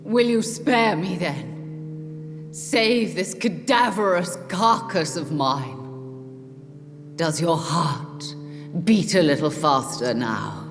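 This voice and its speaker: Slow, theatrical mad vampire actress. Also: female voice, low-pitched, expressive. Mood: pleading, coquettish. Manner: grandly theatrical, declamatory. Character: mad theatrical actress turned vampire, wounded, coquettish, morbid. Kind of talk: video game dramatic acting. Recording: loud orchestral music behind.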